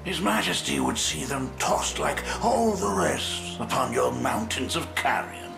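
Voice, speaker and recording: An actor playing a smug, gloating plague-spreading villain in a fantasy video game, mocking and theatrical. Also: male voice, medium pitch, lively intonation, measured pace, creaky, over music. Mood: sly.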